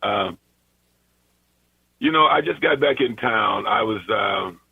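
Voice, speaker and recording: A hoarse man calling in to a radio show, speaking in a halting way and repeating himself. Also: fairly low pitch, lively intonation, no echo. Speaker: caller. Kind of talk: call-in chat. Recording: tinny phone line.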